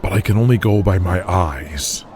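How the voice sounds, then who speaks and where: Man playing a guarded low-voiced veteran wrestler. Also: normal speed, darkish tone, close to an everyday voice. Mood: wary. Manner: hesitant, guarded, plain-spoken. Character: wary, plain-spoken male veteran pro wrestler, family man. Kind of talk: audio drama character acting.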